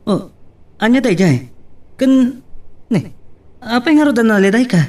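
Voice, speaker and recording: A man's voice: extremely high pitch, expressive, measured pace, a little mellow. A man with an Ilocano accent playing a jovial, teasing best friend in an amateur audio drama. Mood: amused, teasing. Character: jovial, teasing male best buddy giving love advice.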